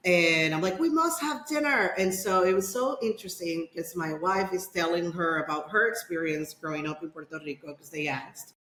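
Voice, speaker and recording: A woman's voice: lowish voice, moderate pace, few pauses, fairly bright tone. A middle-aged woman from the Dominican Republic telling a personal story as a podcast guest, rambling with fillers and restarts. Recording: echoey room.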